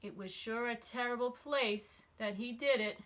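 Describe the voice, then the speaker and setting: A woman's voice: a little high, a little slow, fairly bright tone. A woman narrating a rhyming children's storybook expressively. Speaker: storybook reader, school staff member.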